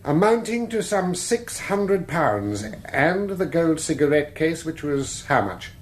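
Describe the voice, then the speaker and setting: Courteous English prosecutor, slightly high-pitched. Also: male voice, lively intonation, a little hiss or hum. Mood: methodical. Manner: measured, polite, formal questioning. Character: courteous prosecuting barrister leading his witness in court. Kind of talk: courtroom radio drama acting.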